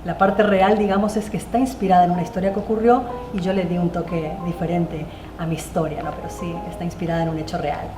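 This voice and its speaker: A woman's voice: lowish voice, steady tone, fast talker, hardly any pauses, over music. A woman novelist answering panel questions in a hesitant way, with many fillers and restarts. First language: Spanish. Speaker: novelist guest. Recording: echoey room with faint noise.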